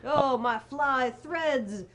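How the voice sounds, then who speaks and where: A woman's voice: sits high, extremely slow. A woman voicing a brash, jolly, cheeky pimp Santa in improvised comic stage acting, showy and exclamatory. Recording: noisy live room.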